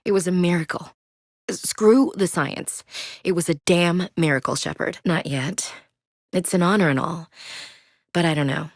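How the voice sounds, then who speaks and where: A blunt, dry-witted young marine soldier in a video game. Her voice sits low and carries a soldier's plain directness. It is recorded in a dry booth with no room sound. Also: measured pace, no room sound. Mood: steady. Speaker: squad soldier.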